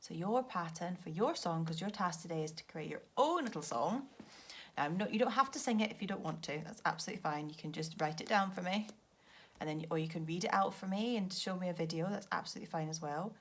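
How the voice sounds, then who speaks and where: A woman's voice: lowish voice, animated, fast. A woman primary school teacher explaining a task to children, often asking simple questions to check they follow. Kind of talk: read-aloud lesson explaining a task to children.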